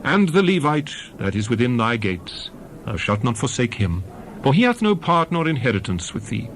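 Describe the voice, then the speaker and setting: A man's voice: mid-range voice, sing-song, normal speed, slight background noise. A man reading scripture as a narrator in a solemn, oratorical, dramatized style with emphatic phrasing. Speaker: Bible narrator.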